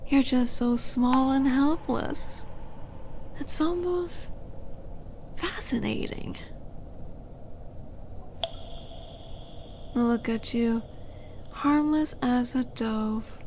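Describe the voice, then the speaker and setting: Casting: actress for female demon in audio drama, higher-pitched, haughty, flirty and taunting, unhurried delivery, noisy background. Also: darkish tone. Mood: mocking fascination. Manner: condescending, teasing, unhurried menace with breathy asides. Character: flirty, teasing female demon, haughty would-be buyer of captives. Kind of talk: scripted audio roleplay monologue.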